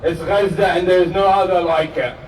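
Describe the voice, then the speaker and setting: A man whose first language is Arabic, giving an earnest, measured speech to a crowd at an outdoor protest rally. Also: high-pitched, steady tone. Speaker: rally speaker and emcee. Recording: echoey rally PA from far off with crowd noise.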